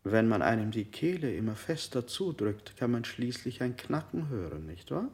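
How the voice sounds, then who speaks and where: A man's voice: medium pitch, sing-song, normal speed, very noisy. An actor playing a menacing male interrogator in a radio drama, asking sly, taunting questions.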